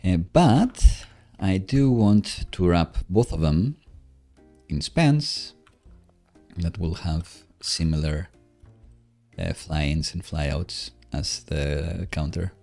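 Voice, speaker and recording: A man giving casual live coding commentary, halting as he thinks aloud while he works. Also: low voice, creaky, mellow, faint music behind.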